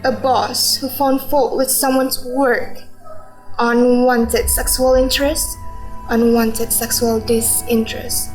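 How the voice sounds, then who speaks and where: A woman's voice: fairly high pitch, little pitch movement, slow pace, a little mellow, soft background music, poor audio. A woman playing an earnest, probing, reproachful wife in a radio drama. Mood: probing, insistent. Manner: earnest, insistent.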